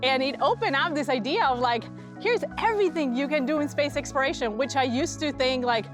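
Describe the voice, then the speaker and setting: High-voiced woman. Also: a little fast, over music. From Colombia. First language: Spanish. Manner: acts out remembered dialogue. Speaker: NASA mission manager. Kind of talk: personal storytelling in interview.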